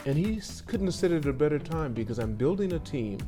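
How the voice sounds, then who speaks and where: A middle-aged man hosting a casual interview, chatty and rambling, correcting himself and firing quick follow-up questions. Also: on the high side, expressive, music in the background. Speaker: podcast host.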